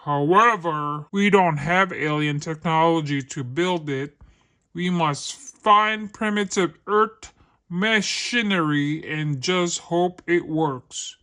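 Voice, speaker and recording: A woman's voice: on the low side, fairly bright tone, pitched below the performer's own voice. Lady doing a dramatic alien mad scientist guy for kids storytime, drags every word way out. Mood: solemn planning. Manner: drawn-out, theatrical delivery. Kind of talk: children's storybook character voice.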